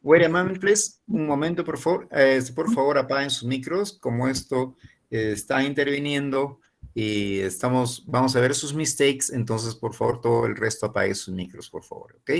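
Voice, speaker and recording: Gravelly-voiced man running an online class. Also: mid-range voice, a little fast. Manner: switches between Spanish and English, gives instructions. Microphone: video call.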